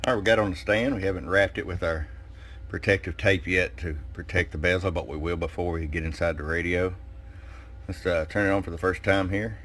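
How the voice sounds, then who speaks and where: Very deep-voiced man, casual reviewer. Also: steady tone, fairly quick, fairly bright tone. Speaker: shop owner presenting product. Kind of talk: casual hands-on product review. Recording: clear background noise and room ambience. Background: background noise.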